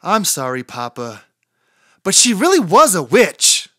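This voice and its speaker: A woman's voice: lowish voice, wildly swooping pitch, a little slow, fairly bright tone, no echo, studio quality, heavily acted. A woman voicing an eager, impulsive little girl chicken in a storybook, pleading and blurting out warnings.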